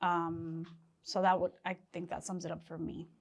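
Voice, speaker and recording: A woman co-hosting a podcast in casual chat. She is losing her voice and reflects aloud with pauses. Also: fairly low pitch, level tone. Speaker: podcast co-host.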